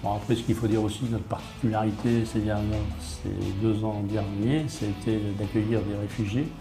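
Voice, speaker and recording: A man's voice: lowish voice, level tone, moderate pace. A man explaining things as a town mayor in an interview, matter-of-fact, listing points and often rephrasing himself. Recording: roomy, echoey sound under guitar music.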